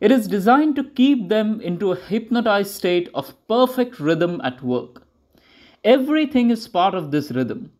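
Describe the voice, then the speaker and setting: High-pitched man narrating a propaganda newsreel. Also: a little mellow. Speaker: documentary narrator.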